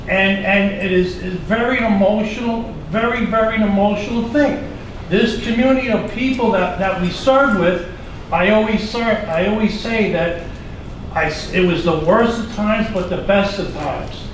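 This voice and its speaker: Brief: middle-aged New man, fired up and halting, high voice, far off in an echoey hall. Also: a bit bright, slight background noise. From United States. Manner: rambling, repeats himself, passionate and halting. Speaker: audience member asking a question. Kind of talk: emotional question from the floor at a symposium. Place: large conference hall.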